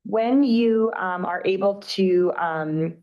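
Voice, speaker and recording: A woman's voice: medium pitch, takes it slowly, muffled recording. A Canadian woman councillor asking direct, polite questions at a meeting. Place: council chamber.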